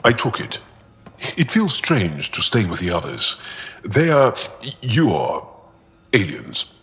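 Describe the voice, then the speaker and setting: A man playing an earnest, guarded alien warrior and tinkerer in a video game, speaking haltingly and thoughtfully. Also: on the low side, huge pitch swings, fairly bright tone, exaggerated voice. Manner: halting, thoughtful, measured delivery. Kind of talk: video game dialogue acting. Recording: reverberant room with music behind.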